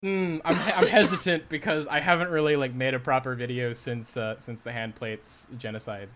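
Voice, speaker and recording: Male commentator, casual and hesitant, medium pitch, conversational delivery. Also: steady tone, fairly bright tone, a little hiss or hum. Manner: hesitant, halting. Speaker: dub video editor and commentator. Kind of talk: casual live chat.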